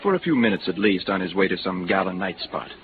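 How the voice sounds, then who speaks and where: A man's voice: deep voice, animated, fast. An actor playing a pompous, courteous bartender at an exclusive bar in a 1950s radio drama, formal and flowery in his speech. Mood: confiding, formal. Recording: noisy, narrow vintage radio recording.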